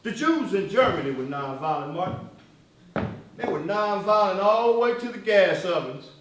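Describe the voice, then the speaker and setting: Heard far off in a very echoey hall, a male stage actor plays a defiant militant leader with a high-pitched male voice. He argues like an accusing orator, leaning hard on words and pausing deliberately. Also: lively intonation, background noise. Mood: indignant, scornful. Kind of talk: live stage play acting. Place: stage in a reverberant room, recorded from afar. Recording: echoey hall, distant microphone.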